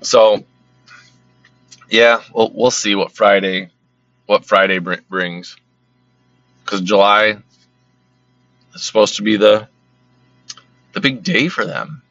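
Rambling man with a bright, slightly hoarse voice. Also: on the low side, takes it slowly, pauses often, no echo. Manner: rambling, repeats words, many fillers. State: losing his voice. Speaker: card collecting channel host. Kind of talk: casual monologue. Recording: slightly dull lo-fi sound.

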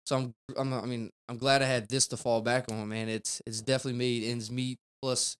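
A man's voice: medium pitch, flat delivery, fairly quick, smooth. A man, a car detailer chatting casually as a podcast guest in a rambling, laid-back way. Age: 20s.

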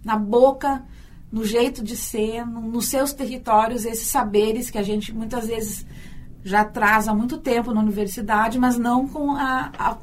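A woman's voice: fairly high pitch, steady tone, a little slow, slight background noise. A Brazilian woman, a university teacher and storyteller, answering in a radio interview, thoughtful and often repeating words as she thinks.

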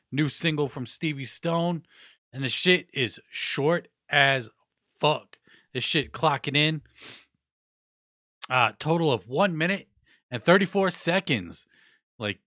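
A man's voice: on the high side, no room sound, clean studio sound. A young adult man hosting a casual hip hop podcast, talking loosely with slang and cursing, his nose stuffed up. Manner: loose, profane, slangy talk with shout-outs. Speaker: podcast host.

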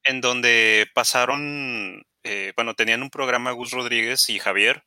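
A man with a very bright, tense voice who hesitates as he searches for words. The sound is dry and close. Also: mid-range voice, steady tone, a little slow, dry recording. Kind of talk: casual chat.

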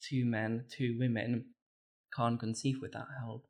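A man in his thirties who grew up in the Republic speaks earnestly, often restarting his sentences. His voice is gravelly and sits on an even, flat line. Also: mid-range voice, unhurried. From Czech Republic. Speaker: podcast guest. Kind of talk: conversational storytelling.

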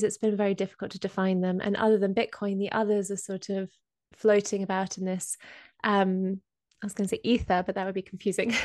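Woman with a cold, flat monotone, dry close sound, lots of ums while explaining stuff. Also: mid-range voice, no echo. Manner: hesitant, many ums, explains step by step. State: has a cold. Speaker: co-host explaining crypto. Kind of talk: casual podcast explainer.

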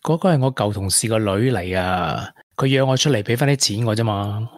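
An actor playing a regretful former tech prodigy in a radio drama, with a Hong Kong accent and earnest delivery. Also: male voice, fairly low pitch, a little fast, dry recording, clean studio sound, lightly acted. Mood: defensive. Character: regretful young ex-tech prodigy, confessing to a woman. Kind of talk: Cantonese radio drama acting.

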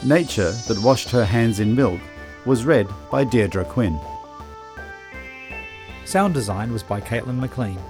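A man's voice: medium pitch, takes it slowly, pauses often. A man presenting a programme with scripted introductions and credits. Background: music with plucked guitar.

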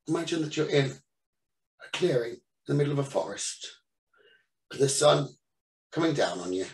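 A man leading a guided meditation as the teacher, giving soothing instructions in short phrases with pauses between them. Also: medium pitch. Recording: roomy echo, distant from the mic.

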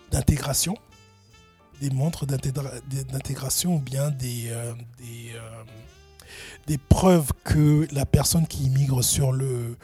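Hesitant man, warm husky voice. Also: medium pitch. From France. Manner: hesitant, many restarts and fillers. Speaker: interview guest, entrepreneur. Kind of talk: thoughtful interview answers.

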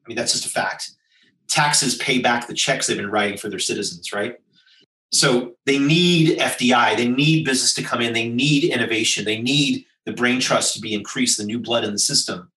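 Emphatic American man, bright and roomy. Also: mid-range voice, moderate pace, far from the mic. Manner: emphatic, persuasive, rhetorical lists. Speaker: business executive guest. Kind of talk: interview answer, advisory. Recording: roomy sound, voice from off-mic.

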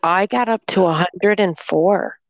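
A woman chatting casually, slowly telling a rambling story about being ill, still sounding congested. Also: lowish voice, no echo. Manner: rambling storyteller, slow and drawn-out. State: congested, recovering from illness. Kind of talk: casual chat.

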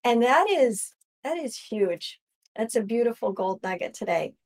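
A woman's voice: a little high, sing-song, measured pace. A woman hosting a conversational faith interview, speaking in an effusive, affirming way. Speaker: interview host.

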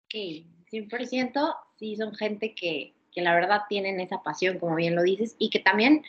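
A woman's voice: medium pitch, moderate pace. A woman interviewer asking questions conversationally, affirming and wordy as she searches for her phrasing. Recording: dull lo-fi audio.

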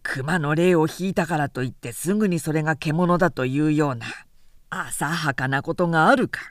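Woman as middle-aged samurai man, low voice, garrulous, pompous, lecturing, storybook reading, dry close sound. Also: normal speed, dry recording, close to an everyday voice. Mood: scornful lecturing. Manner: long-winded, pompous, lecturing.

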